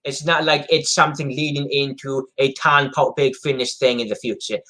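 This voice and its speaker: A man's voice: medium pitch, steady tone, moderate pace, sharp tone. A man co-hosting a casual fan discussion, speaking in a hesitant, rambling way and often repeating himself. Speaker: co-host of a Doctor Who discussion show.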